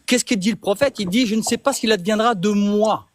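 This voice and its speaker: A man hosting a heated live debate, speaking in a measured way but pushing back firmly when interrupted. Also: high-pitched. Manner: measured, insists on respect, pushes back firmly.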